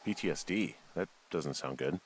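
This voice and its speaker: A man voicing a weary, wry, awkward male fire lookout in a video game, speaking hesitantly with low-key dry humor. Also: normal speed, background noise. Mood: thoughtful. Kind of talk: naturalistic video game voice acting.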